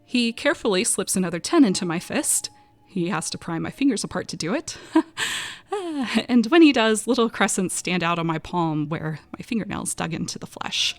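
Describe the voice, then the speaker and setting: Female narrator for eerie audio drama: frightened young woman, expressive first-person delivery, breathy under tension. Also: lowish voice, moderate pace, soft background music. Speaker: voice actor narrating a short story.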